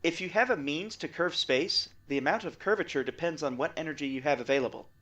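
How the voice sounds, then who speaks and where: Guy playing a keen space scientist, kinda high voice, wry and technical, audio drama. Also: fast, a bit bright, lightly acted. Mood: explaining, keen. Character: excitable male scientist explaining space physics.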